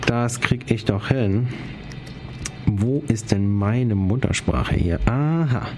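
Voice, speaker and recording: A man's voice: on the low side, takes it slowly, dark tone, faint music behind. A man in his twenties, a writer and poet, chatting as a guest in an interview, eager and rambling as he searches for words. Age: 20s. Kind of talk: casual interview chat.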